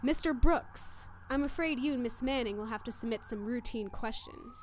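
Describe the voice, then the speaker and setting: A cool, methodical police inspector with a high voice acted by a woman. The delivery is deliberate radio drama acting, with faint noise behind it. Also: lightly acted. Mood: probing.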